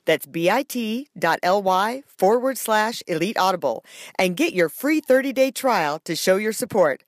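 A woman's voice: medium pitch, expressive, unhurried, dry recording, clean studio sound. A woman reading a scripted promotional announcement as a podcast announcer. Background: music.

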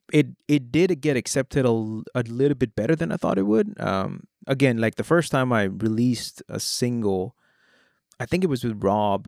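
A young Indian man, a rapper and producer who grew up speaking Hindi, answers questions in an easygoing way, filling his sentences with "you know" and "like." His accent blends Indian, British and American English, and his voice has a light gravelly edge. The recording is dry, with no room echo. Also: medium pitch. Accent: Indian English with British and American traces. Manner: relaxed and conversational, fillers like "you know" and "like". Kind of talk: casual interview answers. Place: Oklahoma.

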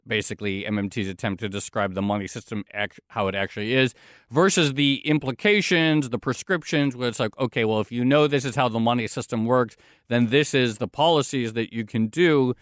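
A man co-hosting a podcast, thinking aloud in an animated casual chat. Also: medium pitch, a little fast, no echo, clean studio sound. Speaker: podcast co-host.

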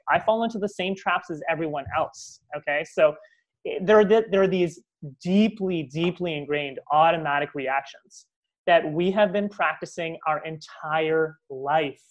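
Man explaining in a coaching tone. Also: high voice. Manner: explanatory, coaching tone with many restarts and tag questions. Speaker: guest speaking on communication. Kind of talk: casual interview conversation.

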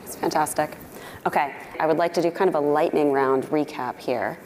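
Low-voiced upbeat woman moderating a panel. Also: a little fast, darkish tone. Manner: upbeat, outgoing. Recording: hall reverb with faint noise.

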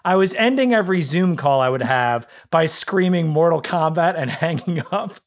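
A woman's voice: low-pitched, expressive, moderate pace. A woman co-hosting a podcast, asking questions in a playful, laughing way. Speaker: podcast co-host. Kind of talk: casual interview chat.

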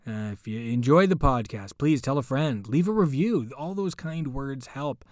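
A man hosts a podcast and wraps up the episode, heaping joking praise on the reader and running through his plugs. His voice is mid-pitched and loose, and it is recorded dry, with no room sound around it. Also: lively intonation, normal speed, darkish tone, no echo. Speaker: podcast host. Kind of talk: podcast outro with promotions.

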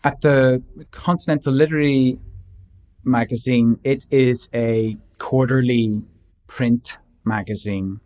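Male translator, interview guest, reflective and measured, mid-range voice. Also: medium pitch, fairly even intonation, darkish tone. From Northern Ireland. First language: English. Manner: measured, reflective, with frequent restarts. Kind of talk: thoughtful interview answers.

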